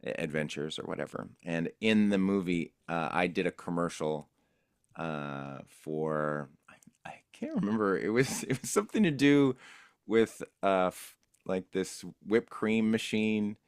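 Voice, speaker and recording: A man with a notably deep, low-pitched voice talks through his old voice-acting gigs in a loose, rambling, easygoing manner. The sound is dry and close, with no room echo. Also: lively intonation, unhurried, smooth. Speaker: voice actor guest. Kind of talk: casual interview recollection.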